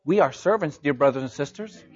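High-pitched emphatic missionary preacher, man. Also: animated, fairly quick, a little mellow, lo-fi sound. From Mexico. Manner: emphatic, rising to high pitch on key points. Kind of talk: sermon.

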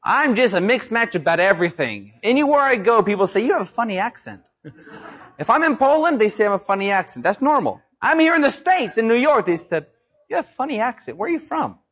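Male preacher, high voice, emphatic sermon delivery, dull lo-fi recording. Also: big pitch swings, fast talker, a bit bright, slightly rough. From Mexico. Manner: emphatic, rising to high pitch on key points. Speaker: missionary preacher.

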